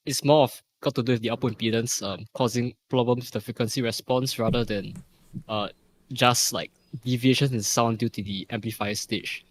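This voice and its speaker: A man's voice: medium pitch, fairly even intonation, normal speed, a little hiss or hum. A man talking casually as a panelist in a technical podcast discussion.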